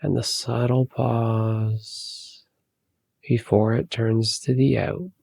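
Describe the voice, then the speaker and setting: A man leading a guided meditation, giving calm, soothing, unhurried instructions with long pauses. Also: mid-range voice, vocal fry, husky, dry recording.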